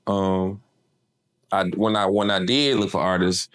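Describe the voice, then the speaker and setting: A man's voice: sits low, fairly even intonation, measured pace, a bit bright, clean tone. A man from Memphis, an artist manager chatting casually in an interview, laid-back and slangy with lots of fillers.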